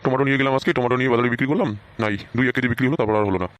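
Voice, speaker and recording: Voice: medium pitch, racing, dry recording, muffled recording, lightly acted. A performer with a Bengali accent voicing a poor, hot-tempered father who grumbles and scolds in a comic cartoon. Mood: dejected.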